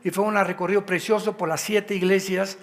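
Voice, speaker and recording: A Mexican man preaches with a high voice that rings through a large hall's long reverb. He is emphatic and a little tired, throwing rhetorical questions at his congregation as he teaches. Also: little pitch movement, brisk. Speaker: pastor. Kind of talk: sermon teaching. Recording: hall reverb.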